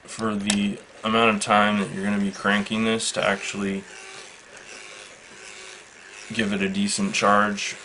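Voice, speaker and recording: Low, gravelly man in flat monotone. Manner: matter-of-fact, halting, measured. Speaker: product reviewer. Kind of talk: casual unscripted product demonstration. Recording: faint background noise.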